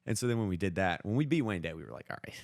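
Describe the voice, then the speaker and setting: Deep-voiced young man telling sports stories. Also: animated, gravelly, no echo, studio quality. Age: young adult. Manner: informal, slangy, halting retelling. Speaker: podcast co-host. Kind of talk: casual sports storytelling with friends.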